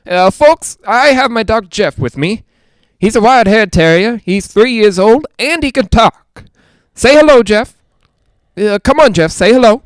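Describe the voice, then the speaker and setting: A man's voice: sits high, lively intonation, measured pace, smooth, no room sound. A man playing a chatty, skeptical radio ghost hunter in a 1940s-style audio drama, with folksy joking patter. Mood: wry, nervous joking.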